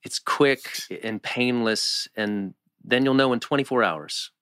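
A man's voice: medium pitch, measured pace, a bit bright, clean tone, no room sound, studio quality, lightly acted. A man acting a supportive, teasing teenage boyfriend at a teen drama table read. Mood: reassuring.

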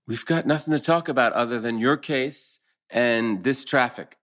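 A man's voice: medium pitch, normal speed, fairly bright tone, close to an everyday voice. A man playing an earnest middle-aged husband in a dramatic table read, sounding natural and conversational. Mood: irritated. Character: earnest middle-aged husband torn over old flame.

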